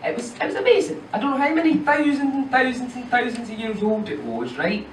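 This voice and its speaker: A woman's voice: fairly high pitch, lively intonation, fairly quick, a little hiss or hum. Young Scottish woman, stand-up, chatty asides, heard from a distance in a roomy club. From Scotland. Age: young adult. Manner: chatty, lots of "you know" and "right?" tags. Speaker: stand-up comedian. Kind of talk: stand-up comedy set. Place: comedy club stage.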